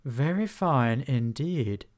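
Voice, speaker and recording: A man voicing a slow, courteous, ancient female dragon in a storybook reading. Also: medium pitch, lively intonation. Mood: admiring.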